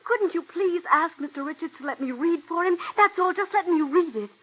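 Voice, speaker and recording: An actress playing a young, ambitious stage actress in a 1940s radio drama, earnest and pleading with an edge of cool menace. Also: female voice, extremely high pitch, expressive, a little fast. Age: young adult. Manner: earnest and pleading, turning coolly threatening. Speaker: aspiring actress. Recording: dull old radio broadcast.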